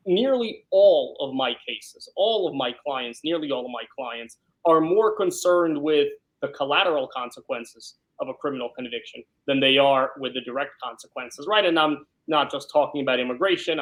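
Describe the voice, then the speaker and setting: A man from Brooklyn, talking at length as an interview guest and explaining things conversationally, often checking with a quick "right?". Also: medium pitch, fairly bright tone, rough recording. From born in Ukraine, grew up in South Brooklyn, New York. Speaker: criminal defense lawyer, interview guest.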